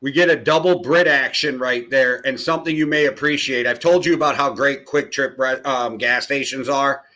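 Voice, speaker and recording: An American man co-hosting a sports podcast, telling stories and giving picks in a relaxed, hedging way. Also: medium pitch, moderate pace. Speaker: podcast co-host. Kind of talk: casual sports chat.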